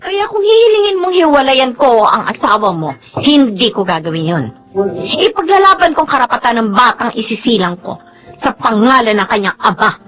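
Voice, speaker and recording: An actress playing a brazen, demanding pregnant waitress and mistress in a Tagalog radio drama, assertive and unapologetic. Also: female voice, a little high, very animated, moderate pace, over music, poor audio. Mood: defiant.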